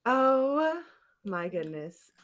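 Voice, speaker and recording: A woman's voice: high voice, sing-song, very slow. A woman hosting a podcast in casual comedic chat, with a playful, mock-dramatic and giggly manner. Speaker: podcast host.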